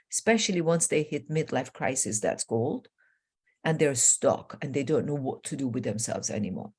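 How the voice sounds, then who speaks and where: Emphatic woman in her sixties, lower-pitched. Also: darkish tone. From Iran. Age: 60s. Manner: emphatic, declarative, repeats key phrases. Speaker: transformation coach and guest. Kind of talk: personal storytelling in a conversation.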